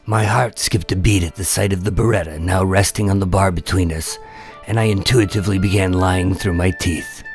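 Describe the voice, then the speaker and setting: A man playing an undercover agent in a radio drama, telling his story with deadpan, wry tension. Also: deep voice, moderate pace, music in the background, close to an everyday voice. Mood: tense, wry. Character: wry, nervous undercover agent posing as mob enforcer. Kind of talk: first-person noir radio drama narration and dialogue.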